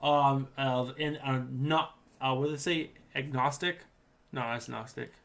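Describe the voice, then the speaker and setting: A man's voice: medium pitch, steady tone, unhurried, gravelly, fairly bright tone. Podcast co-host, man, New England accent, eager and rambling, lots of ums and restarts. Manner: enthusiastic, rambling with restarts and fillers. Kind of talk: casual chat.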